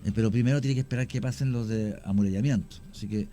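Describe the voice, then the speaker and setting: A man's voice: on the low side, dark tone, faint music behind. Chilean man telling eerie cemetery tales. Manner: unhurried, many fillers and restarts, anecdotal. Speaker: guest storyteller. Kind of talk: radio conversation, recounting cases.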